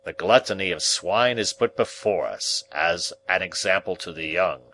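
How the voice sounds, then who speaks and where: A man voicing a sanctimonious, moralizing man who speaks in a solemn, deep, preachy voice. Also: animated, normal speed, pushed and bright, slight background noise, heavily acted.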